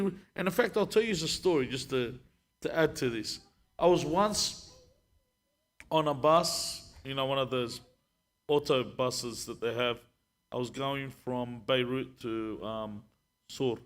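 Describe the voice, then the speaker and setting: Man, relaxed storytelling sheikh. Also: mid-range voice, measured pace. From Lebanon. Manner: conversational, storytelling, many restarts. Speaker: sheikh, guest speaker. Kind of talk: live panel discussion.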